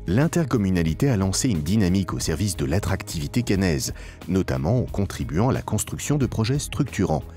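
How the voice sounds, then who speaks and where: A man reading a scripted promotional documentary narration in a formal, measured way, with emphatic phrasing. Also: deep voice, big pitch swings, dark tone. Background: background music.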